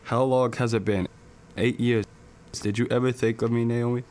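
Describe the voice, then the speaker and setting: A man's voice: medium pitch, steady tone, normal speed, darkish tone, natural voice. A man playing a curt, casual, selfish man back from a long absence in a radio drama. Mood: offhand, cool. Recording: faint noise and clicks.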